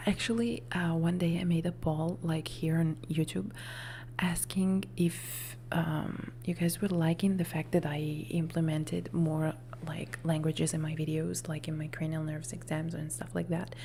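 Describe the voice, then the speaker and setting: A woman whose first language is Italian talks in a soft, close whisper on a live ASMR stream. Her voice is low and dark with a gravelly edge, and she rambles and corrects herself casually. A faint hiss sits behind her. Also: little pitch movement, measured pace. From Italy.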